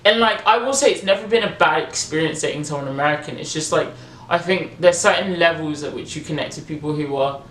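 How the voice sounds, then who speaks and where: A woman's voice: low-pitched, fast, fairly bright tone, slight background noise. A young British woman in her twenties from England, chatting casually and animatedly with lots of fillers. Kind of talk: casual chat. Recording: roomy echo.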